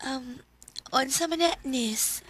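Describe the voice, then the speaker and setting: A woman with a Filipino accent playing a gentle, earnest, lovelorn teenage schoolgirl in a radio drama. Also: high voice, slow pace, poor audio. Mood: helpful, teasing. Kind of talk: Philippine radio drama acting.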